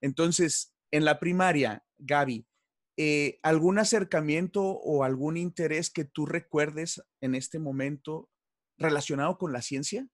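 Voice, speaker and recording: A man's voice: a little high, a little slow, dry recording, clean studio sound. A man with a Mexican accent hosting a conversational interview, speaking politely and somewhat hesitantly as he sets up his questions. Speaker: interview host.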